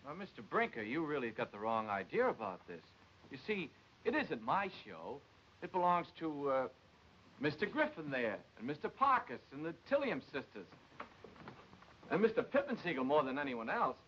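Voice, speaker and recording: A man's voice: fairly high pitch, sing-song, moderate pace, a bit bright, a little hiss or hum. An actor playing an earnest, hesitant and polite small-town man in a 1940s film comedy. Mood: apologetic, earnest. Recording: dull, band-limited old film soundtrack.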